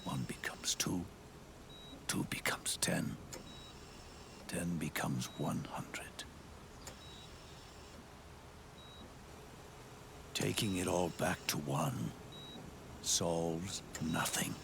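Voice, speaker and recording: Old dying war legend for a game cutscene: low, heavily creaky, weary, halting and solemn. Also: male voice, background noise, exaggerated voice. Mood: solemn, philosophical. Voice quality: gravelly, breathy rasp. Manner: slow, solemn, halting phrases. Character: aged, dying male legendary soldier, weary and remorseful. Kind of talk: cinematic video game monologue.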